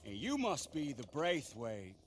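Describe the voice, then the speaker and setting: A man's voice: medium pitch, huge pitch swings, slight background noise. A man playing a smug, menacing Old West lawman who talks with mocking politeness in a game cutscene. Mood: smug menace. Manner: mocking, theatrically polite, drawn-out. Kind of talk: dramatic game cutscene acting.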